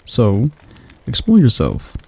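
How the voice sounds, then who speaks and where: A man voicing a patient demon magic tutor in an ASMR roleplay, talking slowly and softly as he coaxes his student through a spell. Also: medium pitch, wildly swooping pitch, vocal fry, breathy edge, dry recording, full character voice. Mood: patient, coaxing. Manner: slow, soft, coaxing instruction.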